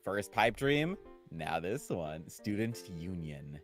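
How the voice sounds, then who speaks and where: A man's voice: low voice, big pitch swings, takes it slowly, faint music behind. A man chatting casually as a guest co-host on a livestream.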